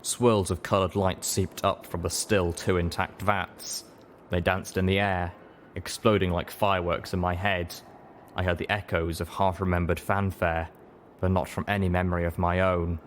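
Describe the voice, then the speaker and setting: A man reading a fan-fiction story aloud in steady, earnest narration. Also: deep voice, normal speed, slight background noise. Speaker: audiobook reader.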